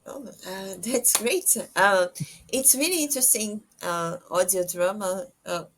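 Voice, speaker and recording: A woman hosting a casual podcast interview, halting often as she searches for her words. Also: medium pitch.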